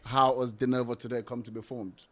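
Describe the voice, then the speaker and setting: A man's voice: mid-range voice, level tone, a little fast. Playful male podcast host. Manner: playful, joking, many fillers. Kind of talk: casual interview chat.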